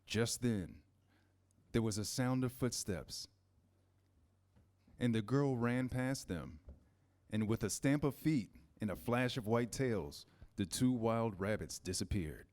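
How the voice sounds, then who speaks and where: A man's voice: mid-range voice, animated, slow pace. A man reading scripted storybook narration as the narrator of a children's tale. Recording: soft guitar music behind.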